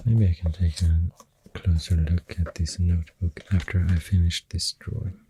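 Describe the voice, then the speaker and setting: A soft-spoken ASMR artist with a Swedish accent, rambling in a hushed, halting, breathy half-whisper while drawing. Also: low voice, level tone, slight background noise, poor audio. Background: pencil writing and drawing sounds.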